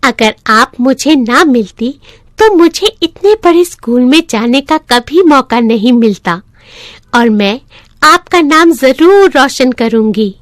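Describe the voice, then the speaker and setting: A woman's voice: high voice, lively intonation, slow, no room sound, clean studio sound. A woman voicing a poor, hardworking orphan schoolgirl in a story, polite, earnest and firm. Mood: grateful.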